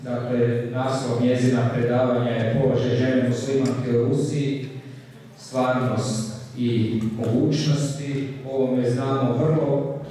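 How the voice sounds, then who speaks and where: A man acting as conference moderator, giving a formal, even-toned introduction of the next speaker. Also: mid-range voice, measured pace, slight background noise. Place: large conference hall. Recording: echoey hall recording from far off.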